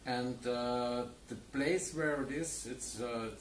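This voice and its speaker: A man's voice: medium pitch, fairly even intonation. A man hosting a wine tasting interview, asking questions and describing the wine in a hesitant way with lots of fillers. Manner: hesitant, halting, with many fillers. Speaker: wine reporter. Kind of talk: casual interview. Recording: roomy, distant mic, faint background noise.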